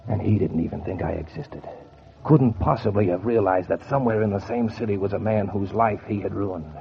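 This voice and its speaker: A man's voice: on the low side, a little fast, vocal fry. An actor playing a bitter, cold-blooded man bent on revenge, narrating in brooding 1940s radio noir style with sardonic deliberation. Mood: brooding, vengeful. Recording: dull, noisy 1940s radio recording.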